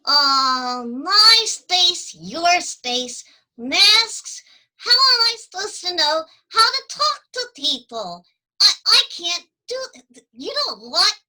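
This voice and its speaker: A piercingly high chipmunk voice, bright and tense, bursting out in stammers and restarts. Its pitch jumps all over the place, and it sounds like a jittery puppet critter on a kids' show. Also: big pitch swings, takes it slowly, full character voice, low-quality recording. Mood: flustered. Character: excitable flustered chipmunk puppet. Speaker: puppet character on a children's show.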